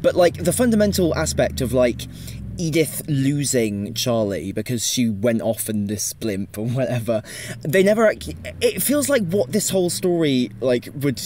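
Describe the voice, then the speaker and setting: A man's voice: mid-range voice, expressive, normal speed, darkish tone, slight background noise. Informal man with a roundabout delivery. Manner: informal, roundabout, frequent "like" and restarts. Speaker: podcast panelist. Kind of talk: casual discussion.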